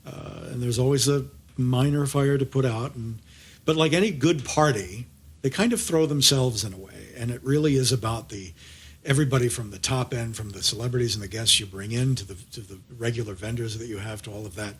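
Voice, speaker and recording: Casting: male show host, rough husky mid-range voice, chatty, effusive, feeling his way through sentences. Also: medium pitch, fairly quick. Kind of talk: casual conversational interview.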